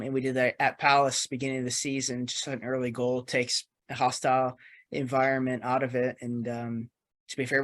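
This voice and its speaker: A man's voice: mid-range voice, fairly bright tone. A man giving casual match analysis as a panellist on a football discussion. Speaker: podcast panellist.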